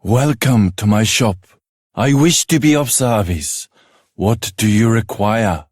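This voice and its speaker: An actor playing an earnest, humble swordsmith and shopkeeper in a fantasy video game, speaking formally and reverently with theatrical weight. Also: male voice, lowish voice, takes it slowly, darkish tone, husky, dry recording, clean studio sound. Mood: courteous. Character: earnest, humble exiled swordsmith turned village shopkeeper, male.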